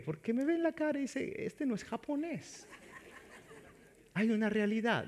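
A man from El Salvador preaching a sermon as pastor, animated and joking as he speaks straight to the congregation. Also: high voice.

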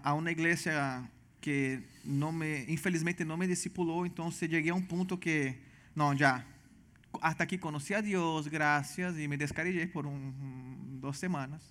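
Earnest Brazilian-accented man, slightly high voice. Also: fairly bright tone. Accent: Brazilian Portuguese. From Venezuela. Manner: earnest, halting, frequent restarts. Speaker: missionary guest. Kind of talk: personal testimony in church interview.

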